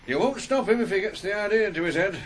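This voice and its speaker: A blustery, shrewd older farmer in a radio play, swooping and emphatic, a little high in pitch. The broadcast recording is noisy. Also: male voice, animated, fast. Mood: gruff, friendly. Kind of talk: 1980s radio drama acting.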